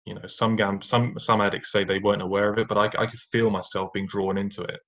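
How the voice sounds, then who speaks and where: A man's voice: lowish voice, little pitch movement, fast, fairly bright tone. A man, a podcast guest, telling his personal story in a reflective way with fillers and restarts. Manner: reflective, many fillers and restarts, occasional rueful laughs. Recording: dull lo-fi sound.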